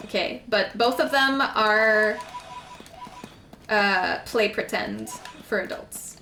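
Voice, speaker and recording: Bright-voiced woman streaming games. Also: medium pitch, slow pace, a little hiss or hum. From Quebec, Canada. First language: French. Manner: chatty and rambling, with frequent "like" fillers. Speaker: game streamer. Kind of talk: casual chat while gaming. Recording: echoey room.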